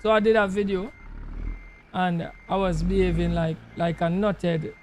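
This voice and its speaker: A man's voice: sits high, fairly even intonation, measured pace, a little mellow, slight background noise. A man from Trinidad and Tobago with a Tobagonian Creole English accent, chatting in a laid-back, rambling way as a gaming YouTuber and slipping into creole speech. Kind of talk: casual chat while playing a truck game.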